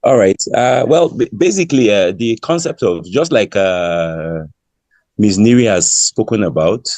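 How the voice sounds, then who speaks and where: A man with a Nigerian English accent, a linguist on a panel, speaking in a relaxed, loose way with fillers. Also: on the low side, a little slow, no echo. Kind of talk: panel discussion.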